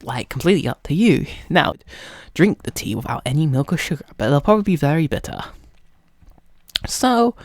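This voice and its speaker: A young British man giving a playful ASMR roleplay tutorial straight to the listener, mock-instructive and slipping at times into breathy whispers. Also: on the high side, a little mellow, no room sound, clean studio sound. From United Kingdom. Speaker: ASMR roleplay creator and host.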